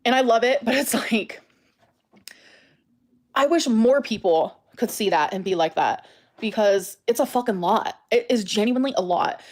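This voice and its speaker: A woman's voice: medium pitch, darkish tone. Woman giving an emphatic personal update. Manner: emphatic, repetitive. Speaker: YouTuber. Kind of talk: casual personal update to followers.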